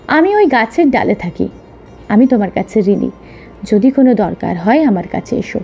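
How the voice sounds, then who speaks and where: A woman's voice: fairly high pitch, lively intonation, moderate pace, creaky, dark tone, dull audio, soft background music. A woman voicing a grateful, generous monkey in a children's story.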